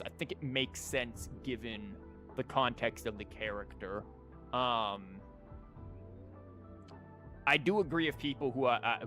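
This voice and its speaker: A man's voice: medium pitch, a bit bright. A man giving casual livestream commentary on a game, rambling informally and thinking aloud with false starts. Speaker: video game streamer.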